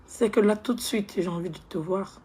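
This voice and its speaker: A woman acts out a heartbroken young woman calling her ex and begging him to hear her out, soft and hushed, slipping into whispers. Her stays clear, her pitch sits in an ordinary middle range, and a faint ambient hiss runs under the voice in a comedy sketch. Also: normal speed, natural voice. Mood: pleading. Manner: soft, hushed, pleading, often near a whisper. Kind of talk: comedy skit monologue.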